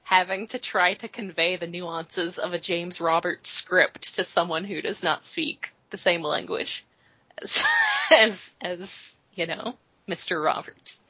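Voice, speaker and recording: A woman's voice: lowish voice, takes it slowly, sharp tone, slightly rough, dry recording. A woman hosting a podcast in casual chat, rambling and chatty, with plenty of fillers while recapping. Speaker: podcast host. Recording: dull lo-fi podcast audio.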